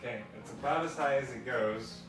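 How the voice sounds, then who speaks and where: A middle-aged man giving casual, halting, unscripted how-to narration while thinking aloud. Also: medium pitch. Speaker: vlogger demonstrating a DIY setup. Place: indoors in a cluttered home garage. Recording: echoey garage, faint music.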